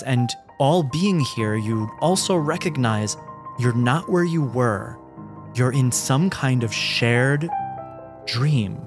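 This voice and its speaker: A man runs a tabletop game as its narrator, with clear music playing behind him. His voice sits at a middling pitch, and he describes scenes in a deliberate, dramatic storytelling manner. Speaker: game master.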